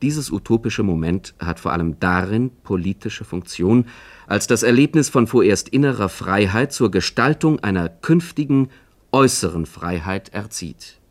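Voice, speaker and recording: Man, fairly low pitch, formal, measured, scripted essay narration, commentator. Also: expressive.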